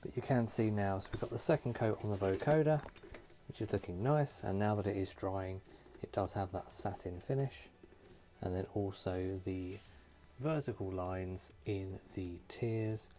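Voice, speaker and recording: A man hosting a hands-on tutorial, talking casually and thinking aloud in an unhurried way with pauses. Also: fairly low pitch, steady tone, warm tone. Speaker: host of a model painting tutorial. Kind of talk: casual talk-through while painting.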